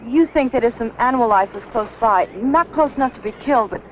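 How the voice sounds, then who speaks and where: An actress playing an anxious, earnest young heroine who sounds worried, in a 1950s B-movie. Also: female voice, fairly high pitch, a little fast, close to an everyday voice. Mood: anxious, puzzled. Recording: muffled, noisy old film soundtrack.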